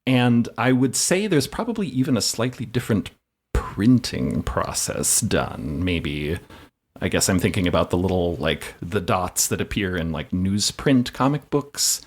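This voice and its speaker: A man's voice: low-pitched, expressive, a little slow. A man playing as a guest in a tabletop game, explaining his ideas casually and thoughtfully as he thinks aloud. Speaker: guest player and writer.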